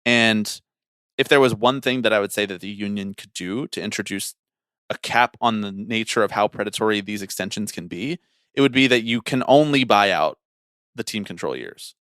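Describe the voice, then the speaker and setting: A man's voice: fairly low pitch, normal speed, a bit bright, dry recording. Young man talking sports, dry close sound. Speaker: podcast co-host. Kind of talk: casual sports discussion.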